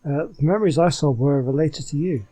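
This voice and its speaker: A man giving casual playthrough commentary on a video game, low-key and a little hesitant. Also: fairly quick, mellow, soft background music. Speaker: gaming video host.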